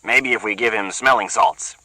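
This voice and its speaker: A man playing a rough, dry-humored thug who talks in offhand slang. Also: fairly low pitch, moderate pace, pushed and bright, no echo, pitched above the performer's own voice, rough recording.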